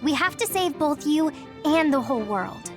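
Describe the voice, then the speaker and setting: A hopeful young female teammate from a video game. Her high voice is earnest and encouraging, with clear music behind it. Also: lively intonation, normal speed. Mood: hopeful, determined. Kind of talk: English video game voice acting.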